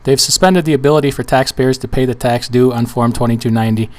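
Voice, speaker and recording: A man with a hoarse, weak voice walking viewers through filling out a form on screen, in a casual, rambling way with restarts and fillers. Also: medium pitch, fairly even intonation, fast talker. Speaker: trucking channel host. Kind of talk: screen-share tutorial walkthrough.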